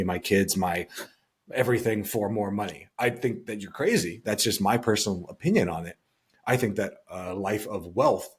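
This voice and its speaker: A man's voice: fairly low pitch, breathy edge. A man co-hosting a podcast as a financial coach, talking earnestly and giving his opinions in a reflective, casual way.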